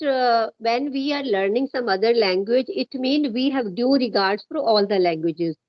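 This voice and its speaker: Encouraging Indian woman, higher-pitched, dull call audio. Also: no echo. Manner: encouraging, unhurried, explanatory. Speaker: English-speaking guest, educator. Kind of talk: casual conversational English practice chat. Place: at home indoors, on a remote call.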